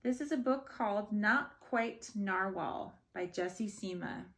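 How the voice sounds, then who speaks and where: Woman, children's storybook read-aloud, expressive storyteller, slow pace, creaky edges to the voice. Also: medium pitch. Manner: expressive storytelling with short asides. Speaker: storybook reader.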